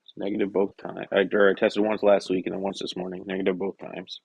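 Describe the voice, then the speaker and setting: A young man chatting casually on a work-shop live stream, rambling and correcting himself, his voice hoarse and scratchy from a cough. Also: low voice, flat delivery, fast talker, no echo, dull audio. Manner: rambling, self-correcting, soft-spoken asides. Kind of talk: casual live-stream chat while working.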